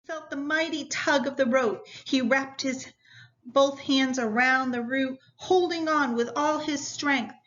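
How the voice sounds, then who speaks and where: A woman's voice: high-pitched, a little slow, a bit bright, lo-fi sound. A woman reading a story aloud like a teacher, addressing young listeners directly in an instructive way.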